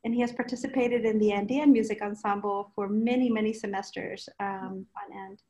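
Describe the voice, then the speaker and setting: A woman hosting a session and introducing participants in a hesitant way, with many pauses and fillers. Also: medium pitch, fairly even intonation, mellow. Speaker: project host.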